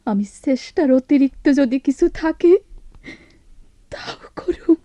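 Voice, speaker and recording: A woman's voice: high-pitched, lively intonation, measured pace, mellow. A woman playing a devoted, determined village wife in a Bengali radio drama, earnest and imploring, in a rural Bangladeshi dialect. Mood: pleading, determined.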